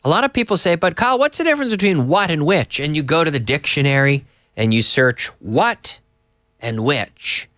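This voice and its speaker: Hoarse man teaching English, lively pitch. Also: dry recording, clean studio sound. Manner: chatty, reads viewer messages aloud and explains slowly. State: hoarse, coming down with a cold. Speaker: English teacher and live-stream host. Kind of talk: live online English lesson.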